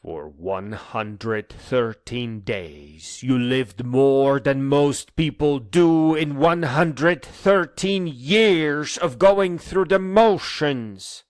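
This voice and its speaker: A man delivering a dramatic spoken-word monologue in an earnest, emphatic manner that moves between hushed and insistent phrases. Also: mid-range voice, extremely slow, few pauses, no echo, studio quality.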